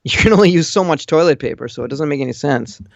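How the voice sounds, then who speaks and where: Over a dull, dry internet call, a man speaks in a gravelly, fry-heavy voice. He chats casually as a caller, giving blunt, matter-of-fact opinions. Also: fairly high pitch, expressive, quick pace, no room sound. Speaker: caller living in Japan. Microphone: online voice call.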